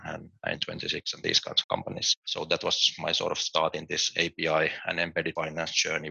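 A middle-aged man speaks in an extremely low, gravelly and flat voice, yet with a sharp, bright edge. He explains things steadily, with small restarts. The sound is dry and a bit dull, like lo-fi remote audio. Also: no echo. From Finland. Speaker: guest, tech executive. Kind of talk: podcast interview answers.

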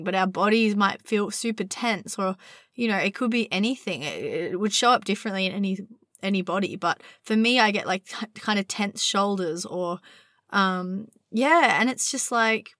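Dreamy, slightly husky young woman host. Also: mid-range voice, fairly bright tone, dry recording, clean studio sound. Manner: dreamy, meandering, drawn-out words. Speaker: podcast host. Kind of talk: casual spiritual podcast monologue. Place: inside a van at night.

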